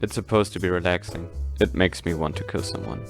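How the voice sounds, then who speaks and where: A man playing a gruff, slow-witted security goon in an audio drama, talking bluntly and dully. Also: deep voice. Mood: puzzled, grumbling. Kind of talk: audio drama comic acting. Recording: roomy echo, faint music behind.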